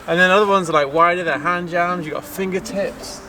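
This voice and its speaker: A man's voice: high-pitched, steady tone, a little fast, bright, forceful tone. A man vlogging outdoors, chatting keenly to camera with a lot of filler words, music behind. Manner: chatty and enthusiastic, many fillers like "like". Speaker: climbing vlogger. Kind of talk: casual talk to camera. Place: outdoors beside a concrete wall.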